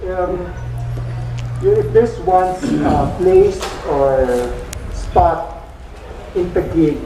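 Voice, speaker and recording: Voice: medium pitch, drawn-out words. An interviewer asking a long question hesitantly, with many fillers. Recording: echoey, distant and noisy.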